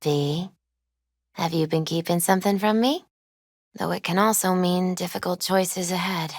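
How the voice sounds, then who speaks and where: A woman voicing a gentle, mystical young tarot reader in a video game, soft-spoken, measured and earnest, with a foreboding manner. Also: on the low side, fairly even intonation, no echo, studio quality. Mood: concerned, hurt. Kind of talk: video game character dialogue.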